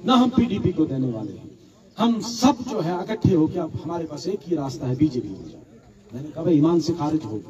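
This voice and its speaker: A middle-aged man speaks to a public gathering in a fairly high voice, moving from plain storytelling to forceful, emphatic calls. The sound is dull and lo-fi, and he is some way off the mic. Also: far from the mic. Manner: storytelling, rising to emphatic rallying cries. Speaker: political candidate giving a public address. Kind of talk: impassioned political speech.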